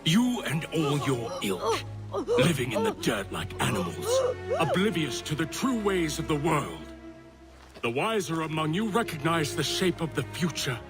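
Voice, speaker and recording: An actor playing a haughty, cruel colonial gentleman villain in a video game, speaking with condescending, theatrical menace. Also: on the high side, wildly swooping pitch, a little slow. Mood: cold contempt. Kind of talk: video game cutscene acting. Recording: music behind the dialogue.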